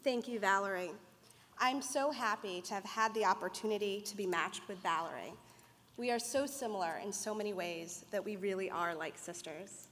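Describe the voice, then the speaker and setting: A woman's voice: medium pitch, normal speed, fairly bright tone. A young woman from the United States giving an earnest, prepared speech as a mentor presenting an award. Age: young adult. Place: banquet hall at an awards dinner. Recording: echoey banquet hall.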